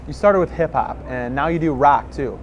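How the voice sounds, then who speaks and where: A man's voice: medium pitch, normal speed, lo-fi sound. A male reporter asking direct, scripted interview questions outdoors in a city. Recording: noisy street with passing traffic.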